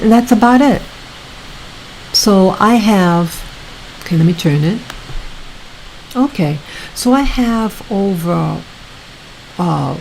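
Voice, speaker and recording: A woman hosting her own video channel, talking casually to her viewers in a soft, soothing voice, halting often and repeating herself. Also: mid-range voice, slight background noise, low-quality recording. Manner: slow, repetitive, halting with many restarts. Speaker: YouTube channel host.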